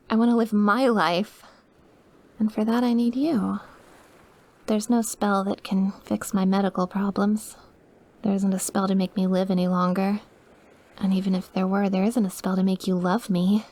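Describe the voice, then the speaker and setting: A woman's voice: medium pitch, a little mellow. A woman playing an obsessive, lovesick, dying young witch in an intimate ASMR roleplay, with a clingy, pleading manner. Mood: adoring, obsessive.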